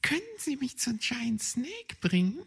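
A man's voice: very high-pitched, big pitch swings, unhurried, gravelly, slightly rough, voice pushed up, heavily acted. A man voicing a sly, proud elderly lady hedgehog who snuffles and speaks secretively. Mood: pleased.

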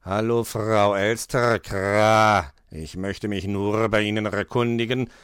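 A man voicing a formal, nosy male raven with a drawling, croaking manner in a children's story. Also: fairly low pitch, steady tone, slow, fairly bright tone, no echo, studio quality, voice pushed down. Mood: inquiring.

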